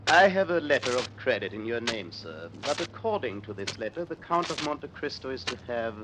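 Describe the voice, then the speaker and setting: An actor playing a fussy, self-important banker baron with a formal, pompous manner in 1930s radio drama style. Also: male voice, mid-range voice, big pitch swings, a little fast, lightly acted. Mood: pompous, uneasy. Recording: noisy old radio broadcast.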